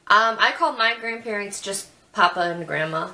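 A woman's voice: medium pitch, creaky, sharp tone. A woman in her twenties from Ohio with a Midwestern accent, drawing out her vowels as she chats and reads questions to a friend on webcam in a small bedroom. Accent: Midwestern American. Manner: chatty, giggly, drawn-out vowels. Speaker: vlogger host. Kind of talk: casual chat, reading tag questions. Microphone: webcam at desk.

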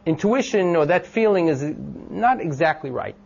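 A man with a loud voice giving an informal classroom lecture, thinking aloud with many ums and restarts and asking the class questions. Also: on the high side, lively intonation, moderate pace, gravelly. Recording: dull lo-fi lecture recording.

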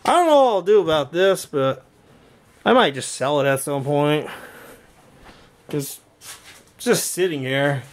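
A man giving a casual walkthrough vlog in an indoor workshop, rambling and halting as he thinks aloud. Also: on the high side, lively intonation. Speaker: video creator showing a home intercom and speaker system.

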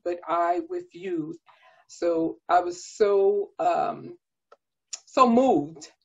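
A middle-aged woman preaching a sermon in a deliberate, emphatic cadence of repeated phrases, recorded like a video call. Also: mid-range voice, breathy edge. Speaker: guest preacher and pastor. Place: indoors, virtual background. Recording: dull, band-limited video-call audio.

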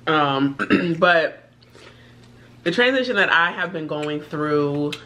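A woman's voice: low-pitched, animated, slow, bright, forceful tone. A woman in her thirties, a guest on an eating show, talking openly and playfully while she eats. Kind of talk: casual heartfelt chat. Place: indoor studio set at a table.

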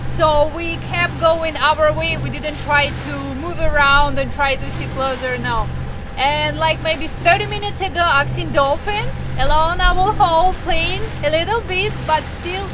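A woman's voice: sits high, steady tone, background noise. A woman in her thirties chatting to an arm's-length action camera on a sailboat at sea, explaining things plainly. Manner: chatty, explaining plainly, some halting grammar. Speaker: sailing vlog host. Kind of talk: casual vlog narration. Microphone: action camera held at arm's length.

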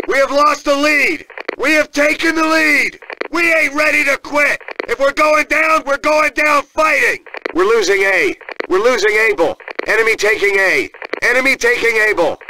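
An actor playing a fierce American Marine sergeant, barking commanding announcements in a military video game. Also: male voice, extremely high pitch, sharp tone, a little hiss or hum, exaggerated voice, poor audio. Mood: clipped, commanding. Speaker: multiplayer announcer.

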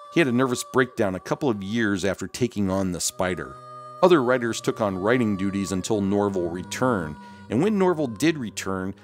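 A man hosting and narrating a scripted documentary-style video. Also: on the low side.

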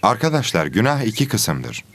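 A man playing a patient religion teacher giving a scripted, deliberate lesson in an educational cartoon. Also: on the low side, huge pitch swings, fairly bright tone, full character voice. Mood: instructive. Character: patient male religion teacher of animal pupils.

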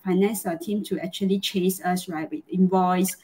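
A woman's voice: lowish voice, fairly even intonation, normal speed, warm tone. A middle-aged woman from Malaysia with a Malaysian accent, hosting a live chat interview in an effusive, chatty way over a video call. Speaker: host. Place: small home room, indoors.

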